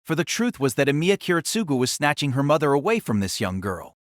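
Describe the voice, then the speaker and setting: A man's voice: a little high, big pitch swings, fast, no echo, studio quality. A man narrating a scripted story in a dramatic audiobook style.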